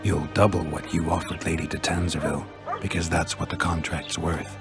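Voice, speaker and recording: A blunt monster hunter in a fantasy video game. The voice is low and dry, the delivery terse and stoic, with clearly audible music behind it. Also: male voice, flat delivery, moderate pace. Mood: stoic, businesslike.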